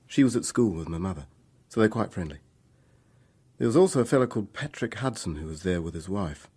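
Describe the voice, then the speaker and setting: A man's voice: sits low, lively intonation, a little fast, dark tone, husky, exaggerated voice. An actor playing a troubled young man making a hesitant, candid confession in a radio drama. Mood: burdened, rueful.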